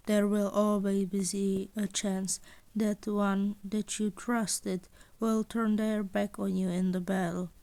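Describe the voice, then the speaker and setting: A woman voicing a weary, gruff adult male teacher who lectures bluntly, in a read-aloud fan story. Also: medium pitch, darkish tone, pitched below the performer's own voice.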